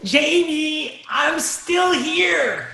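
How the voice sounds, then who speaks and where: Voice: sits high, animated, extremely slow, gravelly, sharp tone, heavily acted. An adult performer voicing a nerdy, friendless schoolboy in an earnest, awkward, self-deprecating way for a sitcom table read. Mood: calling out.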